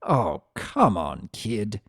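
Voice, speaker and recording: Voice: sits low, wildly swooping pitch, drawn-out words, fairly bright tone, breathy edge, no echo, clean studio sound, pitched below the performer's own voice, heavily acted. Performer as a sardonic, theatrical chaos spirit. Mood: exasperated. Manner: wry, theatrical, sarcastic. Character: Discord, sardonic, grumbling male spirit of chaos turned statue. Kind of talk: first-person dramatic storytelling.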